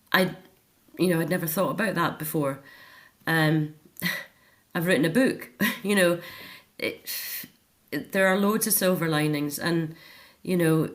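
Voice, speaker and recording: A woman's voice: low voice, steady tone, a little slow, pauses often. A middle-aged Scottish woman talking earnestly and reflectively as a guest in a personal interview. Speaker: interview guest, author and care home volunteer.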